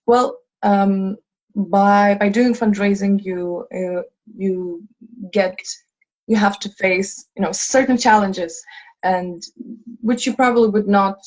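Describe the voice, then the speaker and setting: A woman's voice: mid-range voice, steady tone, takes it slowly, darkish tone. A woman in her twenties from Lithuania, head of a fundraising team, talking earnestly on a video call with many fillers and restarts. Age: 20s. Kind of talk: casual video call chat. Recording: dull lo-fi sound.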